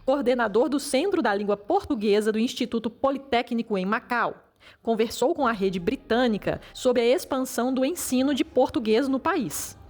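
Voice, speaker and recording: A woman teacher reading a formal, measured educational narration, heard as played-back video audio. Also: a little high, a little mellow. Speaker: language teacher.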